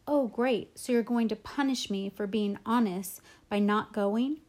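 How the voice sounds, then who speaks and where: A woman's voice: on the high side, lively intonation, normal speed, darkish tone. Woman acting an exasperated teenage big sister. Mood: accusing. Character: defensive, exasperated teenage girl, older sister.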